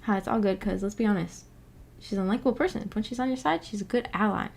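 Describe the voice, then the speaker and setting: A woman's voice: mid-range voice, fast, gravelly, a little mellow. A woman streamer chatting casually with her viewers, hesitant and giggly as she searches for words. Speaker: streamer hosting a visual novel book club. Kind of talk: casual livestream chat, reacting to viewer comments.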